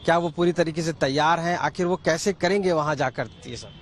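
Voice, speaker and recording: A man's voice: fairly high pitch, fairly quick. A man working as a TV field reporter, speaking in a wordy, insistent interview style. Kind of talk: news interview questioning. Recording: faint outdoor traffic noise.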